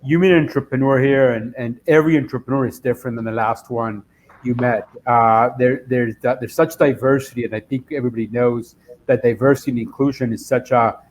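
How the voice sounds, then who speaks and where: A man's voice: medium pitch, fairly even intonation, brisk, slight background noise. A man speaking as an investor on a panel, eager and often restarting his sentences. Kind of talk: panel discussion.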